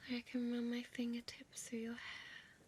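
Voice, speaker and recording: A woman playing a centuries-old, possessive female vampire in an intimate audio roleplay, speaking tenderly in a breathy, hushed whisper. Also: a little high, monotone, moderate pace, slight background noise, natural voice. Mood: tender, enchanted.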